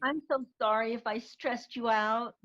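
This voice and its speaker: A woman's voice: a little high, steady tone, normal speed, fairly bright tone, close to an everyday voice. A woman playing a timid, apologetic, sweet newcomer in a comedy table reading, hesitant and concerned, over a video call. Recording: dull online video-call audio.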